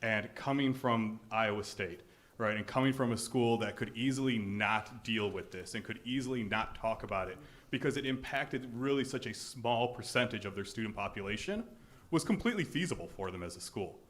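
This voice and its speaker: A man's voice: lowish voice, normal speed, a bit bright. A man speaking on a panel, reflective and repeating words as he thinks. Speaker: alumni panelist. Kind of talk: panel talk at a keynote.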